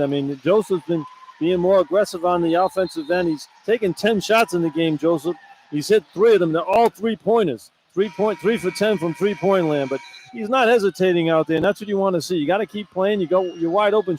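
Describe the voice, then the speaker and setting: A man's voice: high voice, low-quality recording. A man giving informal, plain-spoken color commentary on a basketball game, repeating points for emphasis, from an arena broadcast position. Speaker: radio color analyst. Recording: clear arena ambience noise. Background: arena ambience.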